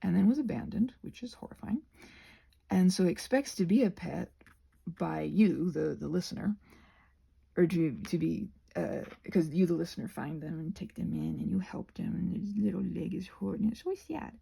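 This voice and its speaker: A woman with a soft-edged, mellow voice that has a husky grain and some creak. She talks in a loose, casual ramble, wandering off on tangents and circling back to rephrase herself. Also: on the low side, moderate pace. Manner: digressive, self-correcting, trails off and restarts thoughts. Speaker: host of a rambling craft vlog.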